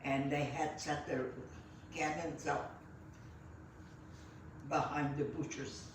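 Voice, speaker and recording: A woman, recalling her life in an interview in a halting manner full of fillers and restarts. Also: sits low, fairly even intonation, background noise. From Belgium. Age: 90s. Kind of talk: reminiscing storytelling. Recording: echoey room, voice set far from the mic.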